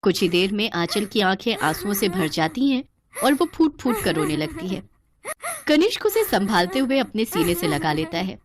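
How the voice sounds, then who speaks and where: A woman's voice: medium pitch, big pitch swings, normal speed, a crowd in the background. A woman storyteller giving dramatic scripted narration for a cartoon tale.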